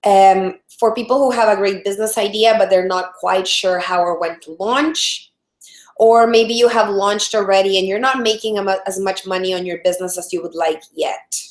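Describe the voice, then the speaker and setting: A woman's voice: mid-range voice, steady tone, normal speed. A woman with a Salvadoran Spanish accent and a mid-range voice leads a live online training. She talks in a friendly way and often restarts her sentences. Her voice carries a noticeable room echo. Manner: friendly, many fillers and restarts. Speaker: product strategist, webinar host. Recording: roomy echo.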